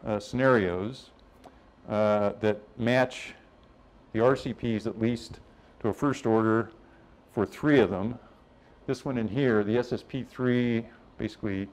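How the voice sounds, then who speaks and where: A man's voice: fairly low pitch, a little mellow. A man presenting at a scientific workshop in an informal, conversational way, explaining things and voicing other people's positions as he goes.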